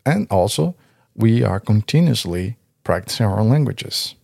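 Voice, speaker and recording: Deep, mellow man with a gravelly voice. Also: lively intonation, a little slow, no echo, studio quality. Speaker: podcast co-host. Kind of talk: scripted podcast talk.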